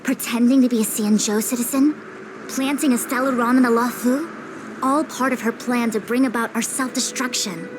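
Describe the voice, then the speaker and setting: A woman's voice: fairly high pitch, steady tone, moderate pace, background noise, close to an everyday voice. An actress voicing a stern, commanding woman leader in a game, speaking formally and with authority. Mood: resolute. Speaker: game character. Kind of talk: game dialogue voice acting.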